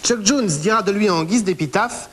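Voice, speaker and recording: A woman's voice: medium pitch, normal speed. A woman co-hosting a magazine show, reading a scripted presentation in a playful manner. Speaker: TV co-host. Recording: hissy background noise.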